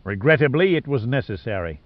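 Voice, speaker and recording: A man's voice: medium pitch, lively intonation, fairly quick, no room sound, muffled recording, natural voice. A man voicing an arrogant, callous older male tycoon villain in an audiobook, speaking with cold, pompous scorn. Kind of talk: audiobook character dialogue.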